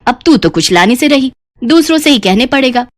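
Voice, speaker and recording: A woman's voice: high voice, lively intonation, a little fast. A woman voicing a greedy, pushy, scheming older mother-in-law in a storybook cartoon, with a grumbling, wheedling and self-satisfied manner.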